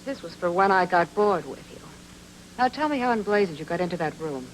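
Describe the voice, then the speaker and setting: An actress playing a self-possessed, teasing noir femme fatale in a radio drama, with sly, clipped banter. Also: female voice, fairly low pitch, expressive, fairly quick, slight background noise, natural voice, rough recording. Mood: sly, mocking.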